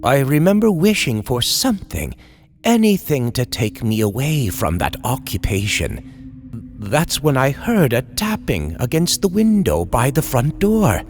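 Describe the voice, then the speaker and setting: A man reading a scripted horror story in a theatrical, deliberate old-time radio storytelling style. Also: medium pitch, very animated. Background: music.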